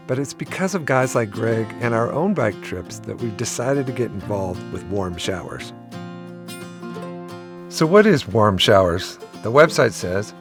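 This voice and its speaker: A middle-aged man telling the story of his travels in a relaxed, friendly voice-over. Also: mid-range voice. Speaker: vlogger host. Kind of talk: scripted voice-over narration. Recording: voice-over with guitar music behind.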